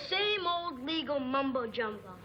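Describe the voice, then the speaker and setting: High-pitched female, brash and brisk hard-bargainer, vintage sitcom, heavy audience noise. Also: big pitch swings, highs cut off, crowd noise. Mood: businesslike. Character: brash, hard-bargaining stage mother and agent. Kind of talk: 1950s television sitcom acting. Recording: very noisy old soundtrack, loud audience.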